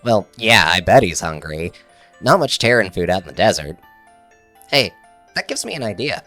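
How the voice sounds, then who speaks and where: Man as a low, slangy alien mechanic. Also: sing-song, normal speed, sharp tone, faint music behind, exaggerated voice. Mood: eager, scheming. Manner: slangy, irreverent, casual. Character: slangy, laid-back alien mechanic and college dropout. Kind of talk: scripted audio drama dialogue.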